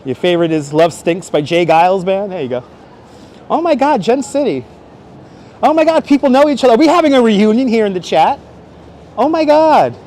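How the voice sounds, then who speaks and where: A male livestreamer chats casually with his viewers while out on city streets. His voice sits high and moves up and down with lots of animation. He is playful and exclamatory, with light urban noise behind him. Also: expressive, a little fast, darkish tone. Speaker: livestream host. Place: outdoors on busy Manhattan streets. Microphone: wireless clip-on microphone.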